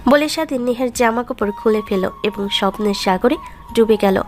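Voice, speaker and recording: A woman's voice: fairly high pitch, moderate pace, darkish tone, soft background music. A woman narrating a scripted romantic story as its storyteller.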